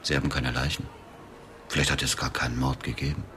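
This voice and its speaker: A very deep male voice carries a self-righteous avenger in a radio play. He is cold and defiant, stating grim things flatly as plain fact. Slight background noise sits under him. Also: fast talker. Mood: guarded, defiant. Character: bitter, self-righteous widower turned cold-blooded avenging killer. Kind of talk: radio drama acting and first-person narration.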